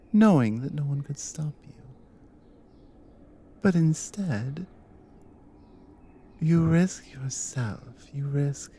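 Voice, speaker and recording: A woman playing a devoted, dominant Nordic warrior wife in an intimate ASMR audio roleplay, speaking softly and earnestly, often dropping to a whisper. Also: low-pitched, slight background noise, heavily acted. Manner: soft, hushed, often breathy whispering, slow and earnest.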